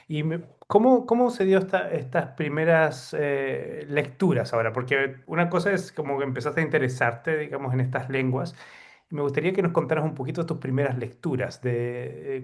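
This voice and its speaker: A man hosting an interview, asking questions haltingly and repeating words as he forms them. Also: fairly high pitch. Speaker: interviewer host.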